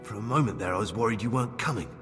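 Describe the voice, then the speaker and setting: A man's voice: on the low side, rapid-fire, over music, natural voice. A man playing a defiant, embittered medieval outlaw on the run in a video game cutscene. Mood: guarded.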